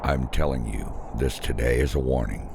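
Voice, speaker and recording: A man narrating a scripted first-person horror story in an ominous, deliberate way. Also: a bass voice, creaky, noisy background.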